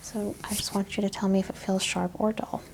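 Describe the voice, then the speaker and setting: Female ASMR examiner, hushed and instructive, relaxed dark tone with vocal fry, faint noise behind. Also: mid-range voice, fairly quick. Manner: gentle instructing, often dropping into a whisper. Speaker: ASMR performer role-playing a medical examiner. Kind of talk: soft-spoken, whispered ASMR medical exam roleplay.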